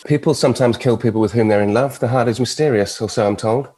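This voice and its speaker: A suave British devil played by a man in a table read, arrogant and drily witty. The voice sits at a middle pitch, urbane and sardonic. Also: fast talker, darkish tone, lightly acted. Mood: cool, probing. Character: suave, arrogant male devil with dry wit.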